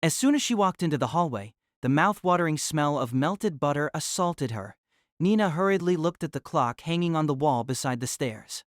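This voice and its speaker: A man narrating a novel in an audio drama, reading in an even, measured way. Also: on the high side, no echo, studio quality.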